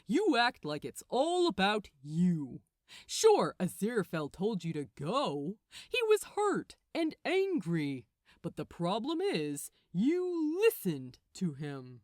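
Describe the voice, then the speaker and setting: A woman's voice: medium pitch, huge pitch swings, slow pace, a little mellow, dry recording, studio quality. A woman voicing a smug, sanctimonious male angel in a storybook reading, impatient and taunting with a superior manner. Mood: scornful.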